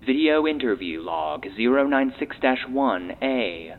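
A man voicing an impassive database announcer, reading formal logs in a measured, procedural way through a thin electronic filter. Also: mid-range voice, lively intonation. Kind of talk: formal scripted audio play narration. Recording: lo-fi phone-like filter with faint noise.

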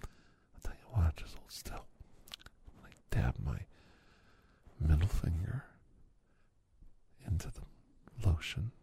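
A man whispering softly and soothingly to the listener in an ASMR massage roleplay. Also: very deep voice, sing-song, slow, pauses often. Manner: soft, soothing, reassuring whisper addressed to listener.

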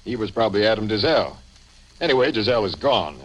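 A man playing a gruff, matter-of-fact police captain with a dry delivery in a 1950 radio drama. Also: lowish voice, sing-song, fast. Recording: noisy vintage radio recording.

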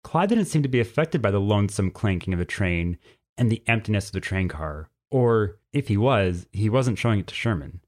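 A man reading a scripted story as narrator, in an expressive way. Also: fairly low pitch, fairly quick.

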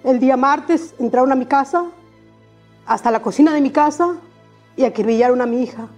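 Grieving mother, raw and insistent, mellow and fairly high, speaking off the cuff into a handheld mic. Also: quick pace, faint music behind. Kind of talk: unscripted public speech. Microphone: handheld microphone.

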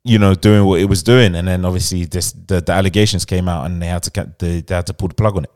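A man's voice: deep voice, fairly even intonation, quick pace, darkish tone. A man with a London accent hosting a podcast in casual, slangy, opinionated banter. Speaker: podcast host.

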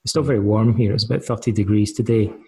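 A man's voice: fairly low pitch, steady tone, fast talker, darkish tone, breathy edge. A middle-aged Scottish man speaking as a podcast guest, thoughtful and hesitant with fillers and restarts. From Scotland. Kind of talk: conversational interview answers.

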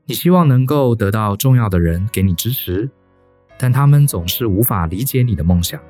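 A man's voice: lowish voice, animated, normal speed, darkish tone. A man giving scripted, reflective narration addressed to the listener. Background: music.

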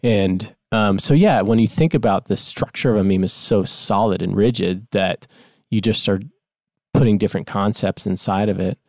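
Low, gravelly man musing. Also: no room sound, clean studio sound. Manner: musing, halting, deadpan. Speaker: podcast co-host. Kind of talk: comedy podcast banter.

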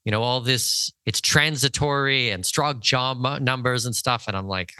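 Male podcast host, crisp bright tone, dry sound, emphatic and opinionated conversational delivery. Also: mid-range voice, moderate pace, no room sound. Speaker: podcast host and financial consultant.

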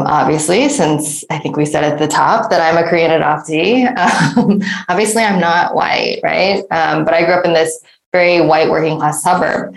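A woman's voice: on the low side, creaky, roomy sound, rough recording. A woman from the Detroit area, a guest answering at length in an interview, thoughtful, full of fillers and asking "right?". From Detroit area, United States.

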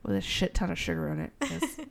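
A woman co-hosting a casual podcast chat, her voice deep and fried from a sinus infection, laughing often and swearing casually. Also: huge pitch swings, fast. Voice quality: gravelly. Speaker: podcast co-host.

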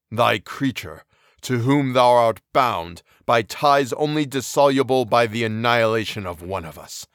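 A man voicing an anguished, towering male creature who speaks slowly and solemnly in an audiobook reading. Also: on the low side, dry recording, clean studio sound. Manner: slow, heavy, solemn delivery.

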